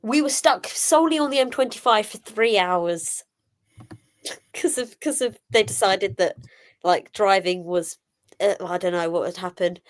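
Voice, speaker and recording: A young woman from in her twenties, speaking at a mid pitch. She talks as a panelist, rambling a little and sounding fed up as she tells her story. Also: unhurried. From Essex, England. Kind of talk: casual panel chat.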